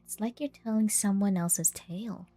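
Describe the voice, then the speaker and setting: A woman's voice: medium pitch, normal speed, mellow, faint music behind. A woman giving casual gameplay commentary as a streamer. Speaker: game streamer.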